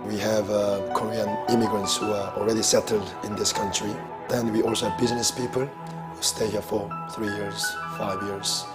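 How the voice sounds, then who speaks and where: A pastor speaks in an interview, thoughtful and pausing often with fillers. His voice sits low for a man. Music plays clearly beneath him. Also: level tone. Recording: music bed underneath.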